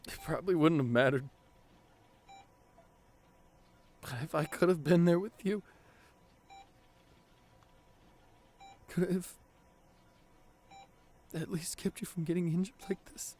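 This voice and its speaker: A man playing a devoted, grieving boyfriend at a hospital bedside in an intimate ASMR roleplay, speaking tenderly and haltingly with emotion. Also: fairly high pitch, steady tone, a little mellow. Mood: tearful, guilt-ridden. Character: devoted, grieving boyfriend visiting his comatose partner in hospital.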